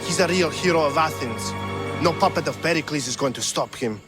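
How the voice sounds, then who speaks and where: An actor playing a blunt, embittered working-class thug of ancient Athens in a video game. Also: male voice, a little high, fairly quick, natural voice. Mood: defiant. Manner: blunt, defiant. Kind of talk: video game dialogue acting. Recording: music under the voice.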